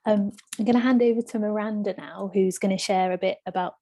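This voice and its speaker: A woman's voice: medium pitch, fairly even intonation, fairly quick, warm tone. A woman hosting an online launch, introducing speakers in an informal way. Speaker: event host. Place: online event.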